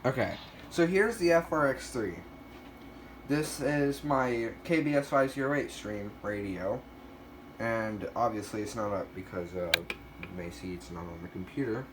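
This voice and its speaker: A man's voice: lowish voice, measured pace, background noise. A man talking casually and off the cuff as he shows items in a collection, a bit self-deprecating. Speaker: collector showing a weather radio collection. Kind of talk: casual unscripted show-and-tell.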